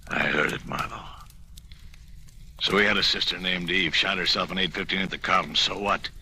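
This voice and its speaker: An actor playing a cold, threatening former boxer turned killer, terse and blunt, in an old-time radio drama. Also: male voice, low voice, fairly even intonation, normal speed, gravelly, bright, forceful tone, slightly rough, exaggerated voice. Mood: curt, defiant. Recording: noisy vintage radio recording.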